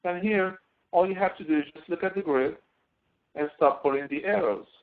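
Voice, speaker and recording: A male instructor heard through a thin, phone-like connection. He talks through an on-screen software demonstration in a halting, step-by-step way, as in a live online lecture. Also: sits high. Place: online screen-share session.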